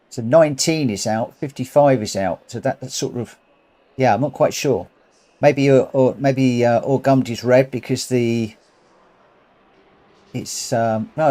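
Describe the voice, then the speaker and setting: A man's voice: mid-range voice, mellow. A man with a cold and a runny nose streaming a racing game, thinking aloud in rambling commentary and correcting himself as he goes. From United Kingdom.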